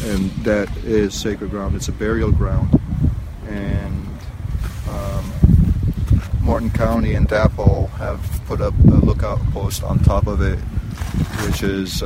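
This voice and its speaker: A man from Lebanon answers interview questions outdoors in a low voice. His delivery is flat, earnest and measured, with hesitant pauses. Loud wind noise runs through the recording. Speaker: activist interviewee, prayer camp member. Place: outdoors near a river.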